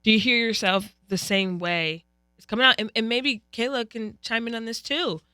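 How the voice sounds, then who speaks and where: A woman's voice: on the high side, normal speed, bright, forceful tone, clear, resonant tone, no room sound, studio quality. A woman hosting a podcast, asking her guest questions in an upbeat, playful way. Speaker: podcast host. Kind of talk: casual interview chat.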